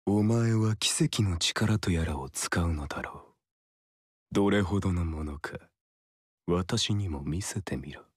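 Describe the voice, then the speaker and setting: A man's voice: sits low, unhurried, darkish tone, husky, no room sound, clean studio sound. An actor voicing a cold, scornful male war god who speaks in commanding, mocking tones. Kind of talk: game voice acting.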